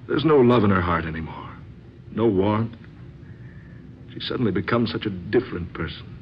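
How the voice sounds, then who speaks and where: An actor playing a brooding, discontented husband in an earnest, melodramatic old-time radio style. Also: male voice, on the low side, animated, normal speed. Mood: bitter, desperate. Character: sceptical, discontented husband, desperate secret lover. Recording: dull, noisy old radio drama recording.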